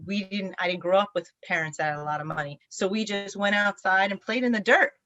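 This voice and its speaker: A woman's voice: mid-range voice, fairly even intonation, brisk, fairly bright tone, dry recording. Reflective woman, dry lo-fi sound. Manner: reflective, rambling with many fillers and restarts. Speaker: band singer, interview guest. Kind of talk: casual interview chat.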